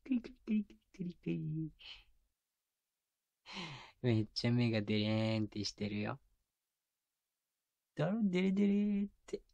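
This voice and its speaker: A man acting as a tender young boyfriend in an ASMR audio drama. He speaks in a soft, breathy murmur, very close and dry on a binaural mic. His manner is doting and teasing, slipping into coaxing baby talk. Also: on the high side, sing-song, moderate pace, pauses often, no room sound. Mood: playful, affectionate. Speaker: voice actor. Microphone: close binaural microphone, dry.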